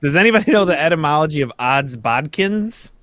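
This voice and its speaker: A man's voice: a little high, lively intonation, fairly quick, fairly bright tone, no echo, clean studio sound. A young man talking casually and a little ramblingly in a group chat. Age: young adult.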